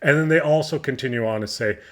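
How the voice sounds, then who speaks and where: A man with a voice of ordinary pitch hosts a hockey news show. He is hoarse, his voice is going, and he talks in a loose, eager fan's way as he works through quotes and adds his own take. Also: quick pace, a bit bright. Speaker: hockey news channel host. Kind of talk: sports news commentary.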